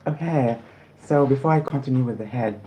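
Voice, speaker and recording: A man's voice: medium pitch, brisk, warm tone, a little hiss or hum, low-quality recording. A man from South Africa chatting casually on a livestream while eating, rambling and often apologizing.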